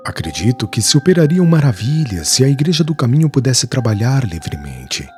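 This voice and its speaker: A man's voice: medium pitch, very animated, a little fast, a little mellow, soft background music, voice pushed down, natural voice. A man voicing a grave, devout old weaver. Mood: earnest. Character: humble older male weaver, devout and earnest.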